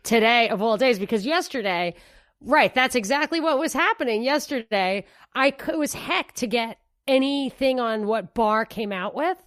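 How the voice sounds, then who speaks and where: A woman co-hosting a political talk podcast, talking in an animated, rambling way and restarting her sentences. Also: a little high, normal speed, no room sound, clean studio sound. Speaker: podcast co-host. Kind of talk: casual political commentary.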